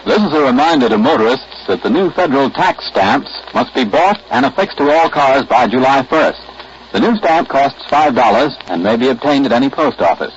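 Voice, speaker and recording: Man, vintage station announcer, lively pitch movement, formal notices, muffled, slight hiss. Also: normal speed. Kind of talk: 1940s public-service and station announcement. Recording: dull, hissy 1940s radio recording.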